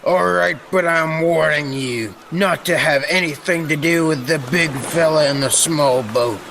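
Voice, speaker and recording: An actor playing a stubborn, superstitious old sailor who speaks in emphatic salty dialect, in old-time radio style. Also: male voice, fairly high pitch, a little slow, gravelly, noisy background. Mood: wary.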